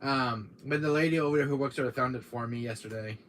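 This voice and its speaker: A man with a deep voice hosting a casual gaming live stream, rambling with many fillers while talking to his chat, tired from a cold. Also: quick pace, a bit bright, a little hiss or hum. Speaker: gaming channel host.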